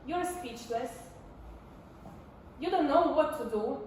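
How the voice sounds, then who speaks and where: A woman in her twenties with a Ukrainian accent, telling a personal story on stage in an animated way, acting out dialogue. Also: high voice, slow. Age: 20s. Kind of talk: personal storytelling talk to an audience. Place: indoor stage before a community audience. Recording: echoey, distant, faintly noisy live room.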